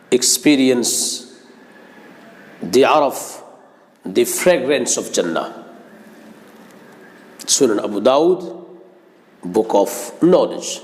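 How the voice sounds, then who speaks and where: Slow, echoing male religious preacher. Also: mid-range voice. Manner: earnest, formal, deliberate phrasing. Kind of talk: religious sermon with Arabic quotations. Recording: long hall-like reverb.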